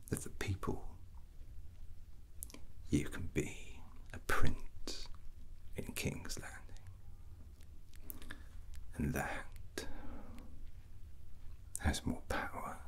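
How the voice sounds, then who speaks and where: Man acting ambitious rogue fantasy prince, ASMR roleplay, hushed breathy whisper, rock-bottom pitch, extremely slow, brooding, grandiose. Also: heavily acted. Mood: brooding, sly. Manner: slow, deliberate, drawn-out phrases with pauses.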